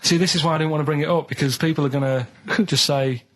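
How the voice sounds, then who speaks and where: A man with a northern English, Manchester accent and a fairly high voice. He chats casually as a radio sidekick, rambling in an earnest, reluctant way. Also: fairly even intonation, quick pace, fairly bright tone.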